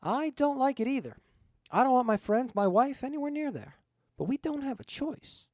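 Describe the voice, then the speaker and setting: A man's voice: very high voice, very animated, normal speed, no room sound, clean studio sound, voice pushed up. A man voicing a shapeshifting spirit posing as a dead pilot, ominous and pleading in an earnest, insistent way.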